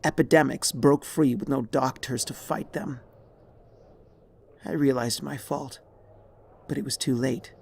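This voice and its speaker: A man voicing a dying, regretful pony mare, a remorseful former tyrant, in a weary, halting, husky voice for a dramatic story. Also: medium pitch, steady tone, darkish tone, a little hiss or hum, pitched above the performer's own voice. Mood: sorrowful, weary. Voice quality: raspy, husky, creaky.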